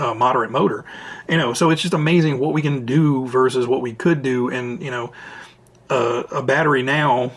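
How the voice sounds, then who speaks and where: Raspy, tired-voiced man chatting casually. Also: medium pitch, a bit bright. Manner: rambling, chatty, self-interrupting. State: voice raspy and tired after long recording. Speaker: RC hobby channel host. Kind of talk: casual Q&A vlog talk. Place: at home, indoors. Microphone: camera mic.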